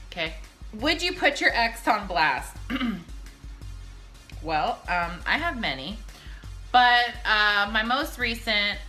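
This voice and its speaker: A woman's voice: mid-range voice, sharp tone. A drunk woman hosting a casual, chatty question-and-answer video, blunt and brash, swearing freely.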